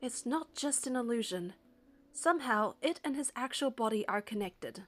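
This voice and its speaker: A woman narrator reading a first-person story from a script in an expressive, suspenseful, dramatic way. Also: fairly high pitch, moderate pace.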